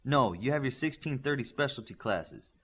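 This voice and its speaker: A man's voice: medium pitch, animated, moderate pace, a bit bright, husky. A man voicing a stern, demanding superhero team coach in an audiobook, giving clipped, commanding instructions. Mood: firm.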